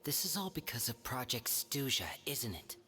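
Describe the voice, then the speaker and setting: An actor playing a calm young man who leads his siblings in a video game, speaking in a measured, explanatory way. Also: mid-range voice, heavy background noise, natural voice. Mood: steady. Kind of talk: video game character dialogue.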